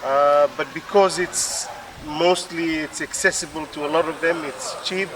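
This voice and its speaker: A man with a Fijian accent explaining things as an interview guest, hesitantly, with many pauses. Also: fairly high pitch, steady tone, crowd noise. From Fiji. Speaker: interview guest, PhD researcher. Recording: distant mic with faint urban noise.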